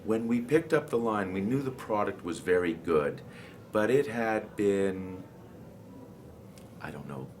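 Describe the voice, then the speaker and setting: Male trade show distributor, very low pitch, explanatory and halting, clearly noisy room. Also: lively intonation, a little mellow. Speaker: audio distributor presenting products. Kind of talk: informal trade show product presentation.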